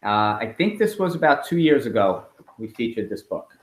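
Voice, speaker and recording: A man reading a picture book aloud to children in an expressive, emphatic storytelling way, as a school principal at home. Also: medium pitch, moderate pace. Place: at home, indoors. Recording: roomy, echoey home recording.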